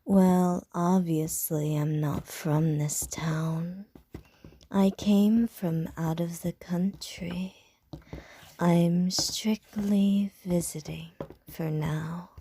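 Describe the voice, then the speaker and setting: A seductive ancient vampire lady, as a woman plays her for an ASMR roleplay, teasing in a soft, breathy whisper. Her voice sits low, dark and gravelly, and her pace is very slow and unhurried. She sounds playful and predatory at once. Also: steady tone, heavily acted.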